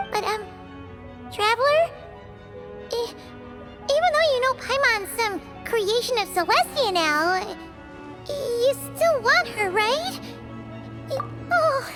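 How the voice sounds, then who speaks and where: A woman voicing a tiny excitable girl companion in a video game, chirpy and calling herself by name. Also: very high-pitched, heavily acted. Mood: nervous. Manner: chirpy, refers to herself in third person. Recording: game cutscene with music.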